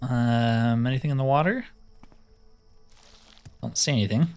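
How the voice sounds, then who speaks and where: A man with a medium-pitched voice talks his way through a video game. He thinks aloud in a casual, self-deprecating way, as a host would. Game music plays behind his voice. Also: unhurried, a little mellow, clean tone. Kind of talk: casual playthrough commentary.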